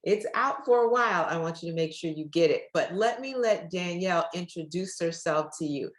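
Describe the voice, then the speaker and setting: Lower-voiced woman host, super enthusiastic faith podcast vibe, echoey room. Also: animated, brisk. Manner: enthusiastic, preacherly.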